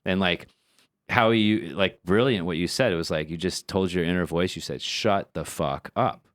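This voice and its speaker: Guy with a really deep voice on a podcast, thinking out loud, lots of likes and restarts, dry close sound. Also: normal speed, dry recording. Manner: thoughtful, builds ideas with many fillers and repeats. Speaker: podcast co-host. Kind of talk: casual podcast discussion.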